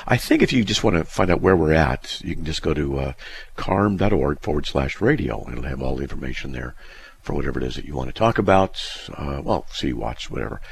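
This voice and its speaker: A middle-aged male radio host with a very deep, husky voice, chatting on air in a loose, rambling way. His pitch swings around freely, and he leans on "uh" and "you know" as he talks. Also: animated, a little fast. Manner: rambling, conversational, frequent "uh" fillers. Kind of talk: solo talk radio monologue.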